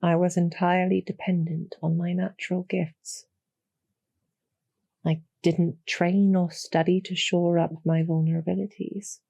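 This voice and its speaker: A woman voicing a calm, knowing succubus demoness in an intimate audio roleplay, speaking in a measured, softly persuasive way with a low, breathy, gravelly voice. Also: no room sound, highs cut off, full character voice. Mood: reflective, composed. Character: calm, knowing demoness succubus archer, former hero, loyal to her queen.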